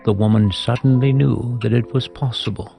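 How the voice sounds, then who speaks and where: A man narrating a scripted audio drama as a storyteller, in a measured, reflective manner. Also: mid-range voice, creaky, dark tone. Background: music.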